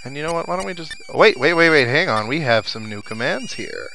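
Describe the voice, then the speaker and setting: A man's voice: a little high, animated, brisk, pushed and bright, slight background noise, rough recording. A man giving casual let's play commentary, thinking aloud to his viewers as he plays. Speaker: gaming video host. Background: video game music and sound effects.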